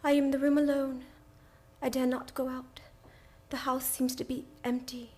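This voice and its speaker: An actress playing a frail, frightened, loving young gentlewoman in an old-time radio drama. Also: high-pitched, flat delivery, normal speed. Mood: fearful, dreamy.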